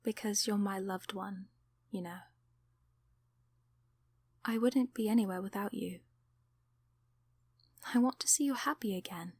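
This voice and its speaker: A woman voicing a kindly sleep paralysis demon in an ASMR roleplay, encouraging and affectionate in a soft, soothing, often whispered delivery. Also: mid-range voice, unhurried, long pauses, husky, no echo. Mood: tender, encouraging.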